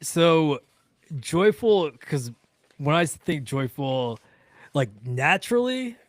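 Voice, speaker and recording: A man's voice: a little high, sing-song, slow, dry recording. A man chatting casually as a panelist on a talk show, with a joking, teasing way of talking. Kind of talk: casual chat.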